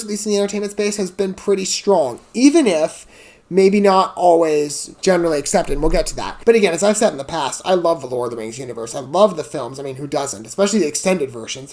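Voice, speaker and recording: Female reaction host, lower-pitched voice, highly animated intonation, casual and rambling, chatty with apologetic tangents. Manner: chatty, digressive, apologetic asides. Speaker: host of a video game trailer reaction channel.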